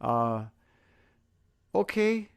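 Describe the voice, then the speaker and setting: A man's voice: medium pitch, big pitch swings, drawn-out words, vocal fry, clear, resonant tone. An American man speaking informally and reflectively as a guest musician, with hesitant, grateful phrasing. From Minnesota, United States. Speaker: guest singer-songwriter. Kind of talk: informal, personal talk between songs.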